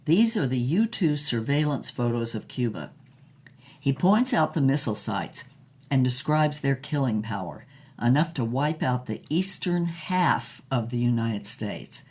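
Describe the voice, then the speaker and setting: A woman as a low, husky, theatrical starlet. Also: very animated, unhurried, a little mellow, exaggerated voice. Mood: breathy, savoring. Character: brash, flirtatious starlet telling her own torrid tale. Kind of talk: dramatized first-person storytelling with character voices.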